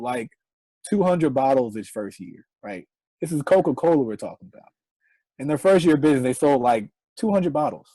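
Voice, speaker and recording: A man's voice: medium pitch, mellow, no echo, poor audio. Earnest young man giving business advice. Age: 20s. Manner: earnest, repeats "right?" as a tag. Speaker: guest entrepreneur. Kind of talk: online interview, motivational advice.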